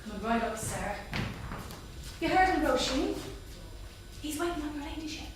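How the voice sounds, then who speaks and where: A woman's voice: sits high, animated. A woman with an Irish accent acting a bustling, chiding but fond housekeeper in a staged reading. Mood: teasing, fond. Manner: brisk, chiding. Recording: echoey theatre, distant, clearly noisy.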